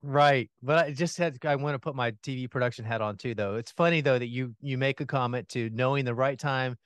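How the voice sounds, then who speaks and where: A man as a podcast guest, talking about gardening with enthusiasm and animated energy, laughing now and then. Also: medium pitch, fairly quick, clear, resonant tone, no room sound, studio quality. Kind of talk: casual interview chat. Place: home in Atlanta, remote call.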